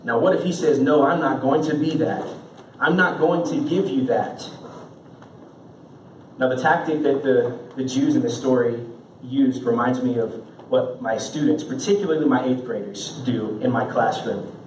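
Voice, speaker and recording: A young man preaches from a church stage in an earnest, questioning tone. His voice sits at a middle pitch and is picked up from a distance, so it rings around the hall with a little background noise. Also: normal speed, a little mellow. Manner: earnest, asks many rhetorical questions. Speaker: pastor. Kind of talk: sermon. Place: church stage with band gear, indoors. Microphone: stand mic, far camera. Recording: roomy church sound from far off.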